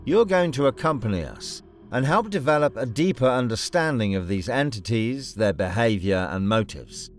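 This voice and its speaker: An actor playing a grim male task force leader in an audio drama, speaking in a terse, commanding military manner. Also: on the low side. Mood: stern. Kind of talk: scripted audio drama narration and briefing.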